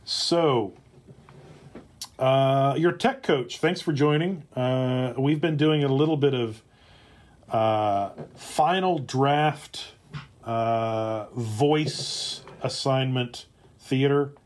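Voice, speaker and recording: A man talks casually to viewers on a livestream. His medium-pitched voice moves slowly, halting often and hesitating with long pauses as he presents. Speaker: streamer and comedy writer presenting a script.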